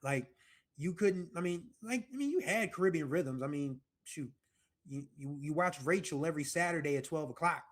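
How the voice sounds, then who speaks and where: An American man in his forties talks through a music episode in a loose, chatty way, wandering into asides and correcting himself as he goes. His voice sits a little higher than most men's. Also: expressive, moderate pace. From United States. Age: 40s. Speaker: music podcast host. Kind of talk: casual music commentary.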